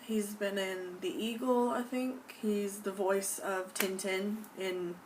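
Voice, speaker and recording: A young American woman talks to camera in a put-on British accent, gushing enthusiastically in a casual vlog. Her voice sits at an ordinary middle pitch. She sounds a little distant from the mic, with a faint hiss of background noise. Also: fairly even intonation, takes it slowly. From United States. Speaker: vlogger.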